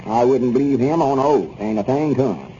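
An actor playing an aggrieved, stubborn older storekeeper in a 1930s radio comedy, with a rural Southern accent and folksy, grumbling talk. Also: male voice, medium pitch, animated, moderate pace, warm tone, natural voice. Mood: bitter, indignant. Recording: noisy dull 1930s radio recording.

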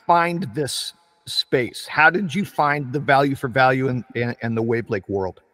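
A man hosting a podcast interview, asking questions in a halting way and stumbling over repeated words as he frames them. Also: mid-range voice, bright, forceful tone. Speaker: podcast host. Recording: long reverb.

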